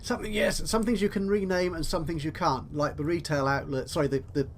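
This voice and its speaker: A man's voice: fairly high pitch, steady tone, a little fast. Man chatting over a game, some noise. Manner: chatty, thinking aloud, talking to himself. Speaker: gaming video host. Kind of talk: casual let's play commentary.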